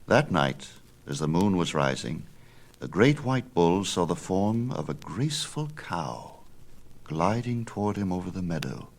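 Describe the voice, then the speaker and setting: A man narrating a Greek myth as dramatic, measured audiobook storytelling. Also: low voice, big pitch swings, gravelly.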